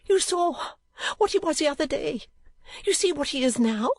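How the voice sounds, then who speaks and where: Fussy, self-important older mother, played by a woman, prim and high-voiced. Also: animated, moderate pace, no echo. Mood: distraught. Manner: prim, fussing, self-regarding chatter.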